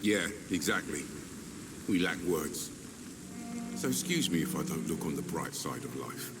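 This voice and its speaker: Gruff ambulance driver, video game character, low-pitched, bitter, blunt, noisy background. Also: male voice, lively intonation, a little slow. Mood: angry, bitter. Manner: blunt, foul-mouthed, bitter. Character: gruff, cynical male ambulance driver and gun smuggler. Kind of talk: video game dialogue acting.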